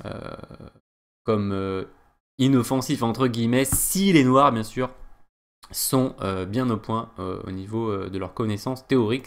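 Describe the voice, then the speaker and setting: Man, from France, chess coach, hesitant, lots of fillers, slightly low voice. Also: gravelly. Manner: hesitant, many "euh" fillers; chatty digressions. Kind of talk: chess game analysis lesson.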